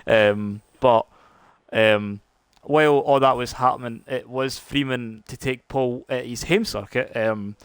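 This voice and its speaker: Scottish male race caller, chatty, um-heavy, mid-pitched. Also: a little slow, clear, resonant tone, dry recording. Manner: chatty, lots of fillers and restarts. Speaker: race commentator. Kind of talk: live sim racing stream commentary.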